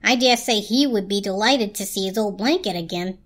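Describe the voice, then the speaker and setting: A woman's voice: medium pitch, a little fast, a bit bright, close to an everyday voice. A woman voicing a polite, prim sewing machine with clipped speech in a storybook reading. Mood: reassuring, polite.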